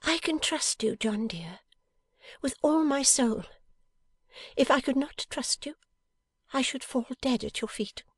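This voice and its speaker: A man voicing a devoted young wife in an audiobook, tender, earnest and fiercely loyal, with breathy emotion. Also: very high voice, unhurried, pauses often, a bit bright, no echo, voice pushed up, full character voice. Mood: fervent.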